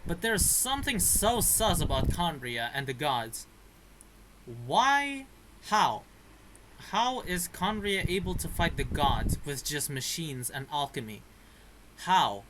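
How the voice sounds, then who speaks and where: A man giving casual reaction commentary on a game, thinking aloud in a halting way. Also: on the high side, very animated, noisy background. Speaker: gaming streamer.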